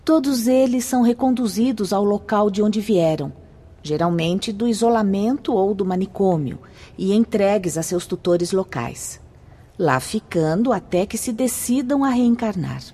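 An actress with a Brazilian accent playing a solemn, kindly spirit instructor in a radio drama, explaining things formally. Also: female voice, mid-range voice, animated, a little slow, warm tone, slightly rough. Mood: solemn, instructive. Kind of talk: radio drama acting, didactic.

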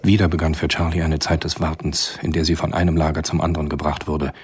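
An actor playing the grave narrator of a radio spy thriller, telling the story in a sober, measured way. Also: male voice, extremely low pitch, flat delivery, breathy edge, exaggerated voice. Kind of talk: scripted literary narration in a radio play.

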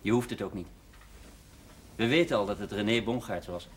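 An actor playing a blunt, stern village lawman in naturalistic television drama dialogue, matter-of-fact and husky. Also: on the low side, moderate pace, slight background noise, lightly acted.

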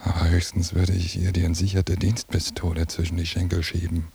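An actor playing a brooding, seething male surveillance agent in a radio drama monologue, bitter and obsessive, with a gravelly, husky voice. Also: deep voice, monotone, a little fast, dark tone, a little hiss or hum. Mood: brooding, menacing.

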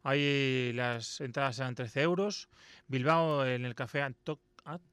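A man's voice: medium pitch, steady tone, a bit bright, smooth, no echo. A man from co-hosting a radio music show, reading out concert listings with casual asides. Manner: brisk announcing, casual asides.

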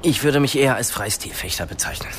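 A man's voice: mid-range voice, sing-song, fast, a little hiss or hum, close to an everyday voice. An actor playing an eager, cheeky but awkward seventeen-year-old boy in a radio drama, over-earnest and prone to stumbling. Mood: eager, polite.

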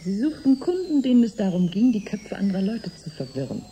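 A woman acts out a guarded, scornful conspirator in a science fiction radio drama. Her very mellow, low voice is lively in pitch and full of cool, cutting mockery, with faint noise behind it. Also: a little fast, lightly acted. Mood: mocking. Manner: cutting, mocking.

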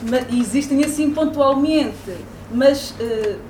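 European Portuguese woman, academic, high pitch, hesitant delivery, far from mic with noisy room. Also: slow pace, a little mellow, echoey room. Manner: hesitant, thinking aloud. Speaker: university lecturer. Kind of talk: public debate. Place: hall at a public debate.

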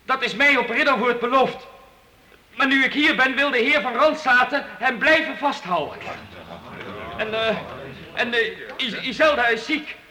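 A high-voiced, earnest young serf. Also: male voice, moderate pace, pauses often, pushed and bright, heavily acted. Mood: pleading. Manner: stammering, urgent, pleading. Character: earnest, brave young serf boy pleading for friends. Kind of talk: Dutch historical radio play acting. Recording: very echoey reverberant hall.

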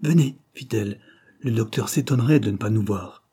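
Storybook ingénue, voiced by a male reader: chaste, earnest young woman, simple sincere delivery, mellow tone. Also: fairly low pitch, moderate pace, husky. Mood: gentle.

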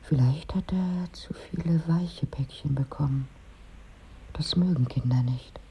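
Eine Schauspielerin spielt in einem Hörspiel eine entnervte Ehefrau, die sich vertraulich und trocken beklagt, mit rauchig-hauchiger Stimme. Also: female voice, low-pitched, a little slow, warm tone, a little hiss or hum. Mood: dryly aggrieved. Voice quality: husky, breathy. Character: exasperated wife fleeing Christmas with her ever-sleeping husband. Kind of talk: radio drama acting.

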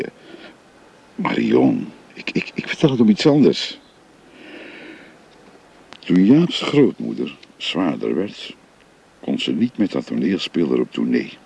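An actor playing an older father who gently confides his family history to a young woman, in a radio drama. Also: rumbling low, creaky, slightly rough, exaggerated voice. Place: recorded on location.

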